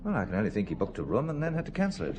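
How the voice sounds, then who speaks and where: A man's voice: fairly low pitch, huge pitch swings, racing, noisy background. An actor playing a polite, slightly hesitant middle-aged English businessman in an audio drama. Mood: courteous.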